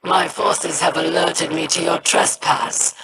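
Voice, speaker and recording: A woman's voice: lowish voice, flat delivery, slow, gravelly, very noisy. A woman voicing a fierce, proud, hostile alien queen in a video game, speaking with scorn and menace. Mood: scornful.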